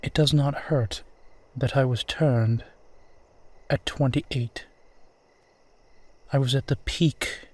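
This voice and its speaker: A man playing a suave, centuries-old vampire in a roleplay audio drama, speaking formally, with old-fashioned courtesy and gentle teasing. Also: mid-range voice. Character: suave, courtly centuries-old male vampire who looks twenty-eight.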